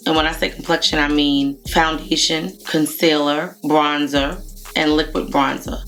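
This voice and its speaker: A hoarse woman in her thirties, a beauty vlogger chatting casually to the camera from a room at home about her favourite products. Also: sits low. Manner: chatty, rambling, warm greetings to viewers. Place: home room with makeup shelves, indoors. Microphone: camera at desk distance, framed head and shoulders.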